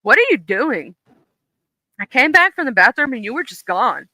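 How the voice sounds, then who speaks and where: A storyteller voicing a young woman who is concerned and then teasing, in a horror story read live, with her quoted lines spoken slightly louder. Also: mid-range voice, sing-song, normal speed, no room sound, voice pushed up, poor audio.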